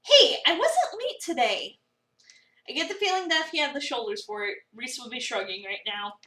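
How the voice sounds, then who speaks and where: A woman's voice: high voice, very animated, normal speed, sharp tone, heavily acted. A woman voicing an exasperated, sarcastic young cafe worker, narrating a visual novel with dramatic incredulity. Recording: roomy, slightly distant mic.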